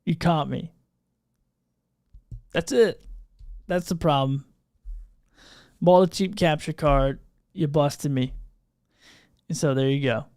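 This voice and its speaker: Man, livestream podcast host, informal, unscripted, thinking aloud, frequent vocal fry, dry close sound. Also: a little high, slow pace, long pauses, a little mellow, dry recording. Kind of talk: casual unscripted livestream chat. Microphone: podcast microphone through audio mixer.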